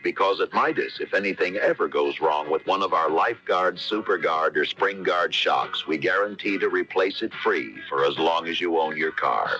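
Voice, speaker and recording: A man's voice: sits low, expressive, normal speed, few pauses, bright, forceful tone, low-quality recording. A man reading a hard-sell commercial on 1970s radio. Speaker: commercial announcer.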